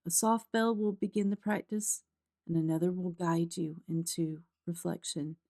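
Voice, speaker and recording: A woman narrating a scripted guided meditation in a soft, gentle, calm and soothing way, as the guide of the practice. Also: fairly low pitch, moderate pace. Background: instrumental music.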